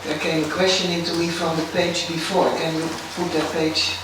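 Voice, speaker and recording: A man in a seminar audience, asking a question and hesitating as he searches for the words. His voice is a little higher than average. He is heard from far off the mic in a very echoey hall, with steady noise behind. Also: normal speed. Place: lecture hall.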